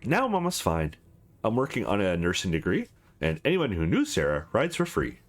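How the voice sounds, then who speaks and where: A man's voice: on the low side, huge pitch swings, moderate pace, exaggerated voice. Guy doing a scrappy young cab driver gal from a visual novel, frank n casual, voice bouncing all over, faint music. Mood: fond, matter-of-fact.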